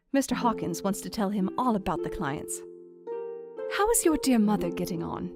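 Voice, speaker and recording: Woman as an affectionate young married gentlewoman, music underneath. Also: medium pitch, natural voice. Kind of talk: dramatised period letter reading in an audio drama.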